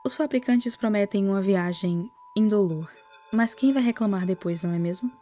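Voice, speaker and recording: A Brazilian woman narrating a scripted horror commentary in a soft-spoken way, with lightly wry asides. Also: mid-range voice, normal speed. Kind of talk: whispered-style scripted narration, storytelling commentary. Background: music.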